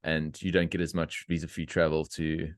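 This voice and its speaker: A middle-aged man from South Africa hosting a podcast, talking in opinionated, animated rants with laughter. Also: extremely low pitch, moderate pace, clean tone, no echo, studio quality. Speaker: podcast host. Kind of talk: casual interview chat.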